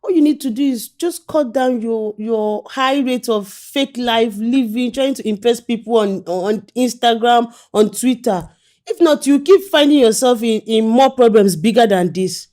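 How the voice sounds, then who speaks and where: A woman playing a calm young woman who soothes and gently lectures a crying friend. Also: on the high side, unhurried, lightly acted. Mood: lecturing. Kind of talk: comic skit acting.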